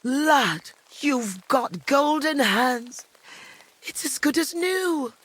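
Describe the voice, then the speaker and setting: An actress playing a frail, wistful old village widow in a medieval video game, speaking in a halting, fond way. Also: female voice, high-pitched, lively intonation, fairly bright tone, exaggerated voice. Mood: touched, delighted. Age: older. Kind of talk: dramatic video game dialogue.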